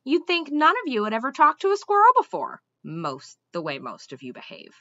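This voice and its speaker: A woman's voice: sits high, wildly swooping pitch, a little fast, sharp tone, no echo, dull audio, pitched above the performer's own voice, exaggerated voice. A woman voicing a bossy, huffy female squirrel who lectures emphatically in a storybook read-aloud. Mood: exasperated, bossy.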